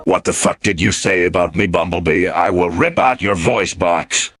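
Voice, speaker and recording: A man voicing a huge, menacing robot leader who threatens and curses in animated parody style. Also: low voice, moderate pace, over music, lightly acted. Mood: menacing anger. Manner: threatening, profane, forceful.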